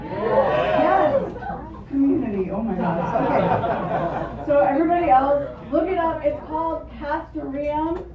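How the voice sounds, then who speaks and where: A woman whose first language is Japanese, doing a stand-up comedy set on a club stage with wry, deadpan storytelling, caught on a phone voice memo from the audience. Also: high voice, a little slow, over a noisy crowd. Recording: lo-fi phone recording from far off in an echoey club. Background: audience laughter.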